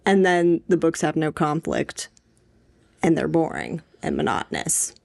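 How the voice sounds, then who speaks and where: A woman's voice: deep voice, measured pace, vocal fry, darkish tone. A young woman co-hosting a podcast, giving her opinions in an informal, emphatic way. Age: young adult. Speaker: podcast co-host. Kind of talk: casual opinionated discussion chat.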